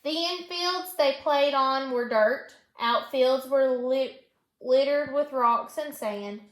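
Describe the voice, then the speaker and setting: A woman with a high-pitched voice reads aloud as a teacher would. She is instructional, moving between storybook narration and prompting questions. The room around her rings with echo. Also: takes it slowly. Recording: echoey room.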